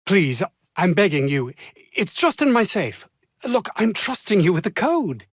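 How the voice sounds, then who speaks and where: A haughty, ambitious scientist from a video game. His voice sits fairly high for a man and swings expressively, with fussy, formal diction and an anxious edge. The sound is dry and close. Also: big pitch swings, measured pace, slightly rough, no echo, exaggerated voice. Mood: anxious, pleading. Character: pompous, ambitious, anxious male research scientist. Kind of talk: theatrical game dialogue.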